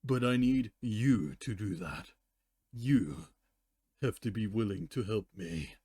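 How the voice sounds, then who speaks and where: A man voicing a weary, sorrowful, ancient female pony in a fan fiction reading, speaking slowly and solemnly. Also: lowish voice, lively intonation, dark tone, husky, dry recording, studio quality, heavily acted. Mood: weary pleading. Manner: slow, deliberate, solemn.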